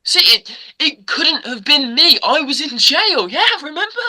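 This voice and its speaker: A man's voice: extremely high pitch, sing-song, unhurried, bright, forceful tone, exaggerated voice. A man playing a pleading, wounded teenage boyfriend in a script read, stammering and repeating words. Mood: hurt, frustrated. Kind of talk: amateur screenplay table read.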